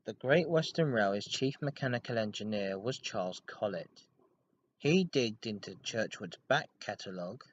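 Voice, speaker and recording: A man's voice: lowish voice, expressive, normal speed, slight background noise. A man hosting a hobby podcast, narrating history in an informal, scripted way. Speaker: podcast host. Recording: dull lo-fi home recording.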